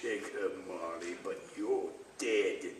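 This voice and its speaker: A man's voice: sits low, slow. An actor playing a miserly, bitter old businessman with a blustering, snappish manner in a radio theater reading. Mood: rattled, defiant. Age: older. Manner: blustering, snappish, stammering when rattled. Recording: echoey distant stage recording, faint noise.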